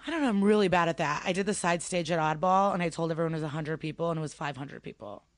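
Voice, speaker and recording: A woman's voice: sits low, rapid-fire, no room sound, studio quality. A young woman from Ukraine, a stand-up comedian, chatting casually as a podcast guest and telling stories with many fillers.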